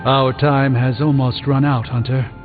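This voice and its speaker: An actor playing a learned, composed sorcerer in a video game, speaking in a formal, eloquent, dryly witty way. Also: male voice, medium pitch, little pitch movement, a little slow, narrow, tinny audio, music in the background, lightly acted. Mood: calm, earnest. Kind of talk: scripted video game dialogue.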